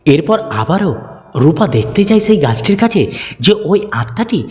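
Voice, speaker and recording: A man's voice: high-pitched, very animated, moderate pace, a little mellow. A man narrating a scripted horror cartoon story for children as its storyteller. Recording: long hall reverb over music.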